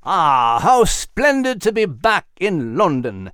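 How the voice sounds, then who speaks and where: Man playing a proper, animated gentleman animal. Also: high-pitched, slow, clear, resonant tone, no room sound, studio quality, full character voice. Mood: delighted. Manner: proper, formal, precise. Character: refined, learned, bespectacled male animal gentleman.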